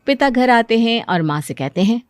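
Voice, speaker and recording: A woman's voice: a little high, lively intonation, moderate pace. A woman giving scripted narration for a cartoon story. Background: music.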